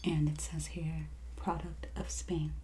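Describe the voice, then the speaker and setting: Slow, breathy, soft-spoken ASMR woman. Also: low-pitched, little pitch movement, background noise. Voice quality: soft, breathy, often near-whispered. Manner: slow, gentle, unhurried presenting. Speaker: ASMR host playing a wine and spirits merchant. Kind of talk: soft-spoken ASMR roleplay, product showcase.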